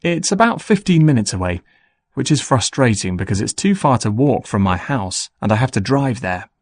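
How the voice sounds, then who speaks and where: Male actor as busy young student: friendly, animated, mid-pitched, carefully enunciated test dialogue. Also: normal speed, no room sound, studio quality. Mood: earnest, friendly. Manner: clearly enunciated, scripted. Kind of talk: scripted dialogue for an English listening test.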